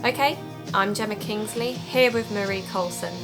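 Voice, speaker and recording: A woman's voice: mid-range voice, moderate pace, music in the background, close to an everyday voice. A woman playing an earnest sleuth podcaster. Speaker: investigative podcaster. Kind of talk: audio drama narration and dialogue.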